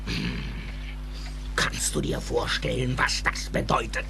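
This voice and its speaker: A man playing an eccentric, excitable scientist in an audio drama, agitated and dramatic, moving between mutters and shouts. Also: extremely low pitch, takes it slowly, vocal fry, slight background noise, heavily acted. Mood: grumpy, dismissive. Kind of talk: audio drama film dialogue. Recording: music behind the voice.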